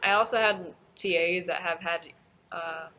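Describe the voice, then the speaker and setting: A woman's voice: lowish voice, fairly even intonation, unhurried, bright, forceful tone, rough recording. A young woman, an undergraduate student, answering an interview question casually with lots of fillers. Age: young adult. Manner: casual, filler-heavy, likes.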